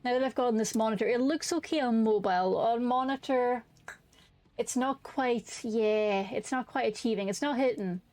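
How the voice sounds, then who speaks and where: A woman's voice: a little high, normal speed, a little mellow, dry recording. Sweary woman streamer, dry close mic. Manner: candid, sweary and rambling, with frequent restarts. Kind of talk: casual chat while streaming a game.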